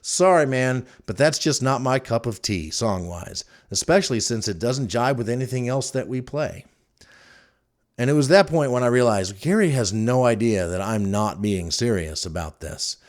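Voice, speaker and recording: A man's voice: mid-range voice, expressive, normal speed. A man hosting a podcast, telling stories casually in an informal, wry and amused way. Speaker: podcast host. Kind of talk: casual rambling storytelling to listeners.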